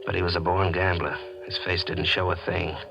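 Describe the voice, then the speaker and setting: An actor playing a bitter, desperate gambler who tells his own story in a dramatic, deliberate 1940s radio mystery style. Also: sits low, little pitch movement, vocal fry, noisy background. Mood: suspenseful narrating. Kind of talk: 1940s radio mystery acting, first-person narration. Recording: dull 1940s radio recording, organ music.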